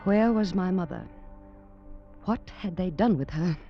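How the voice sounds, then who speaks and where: A woman's voice: lowish voice, animated, measured pace, muffled recording. An actress playing a distraught, breathless young woman in an old radio drama, anxious and stammering. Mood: haunted narration. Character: distraught young woman searching for her missing mother. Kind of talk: 1940s-50s radio drama acting with first-person narration. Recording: old radio recording with music behind.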